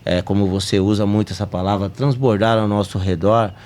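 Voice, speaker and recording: A Brazilian man with a lowish voice talks earnestly as the host of a spiritist study podcast. His Brazilian Portuguese accent comes through, and he climbs now and then to emphatic peaks. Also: steady tone, brisk, darkish tone.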